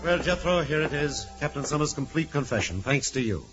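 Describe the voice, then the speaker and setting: A man's voice: on the high side, lively intonation, fairly quick, over loud music, natural voice. A man playing an earnest, questioning prison warden in 1940s radio drama. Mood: earnest puzzled. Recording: muffled vintage radio recording.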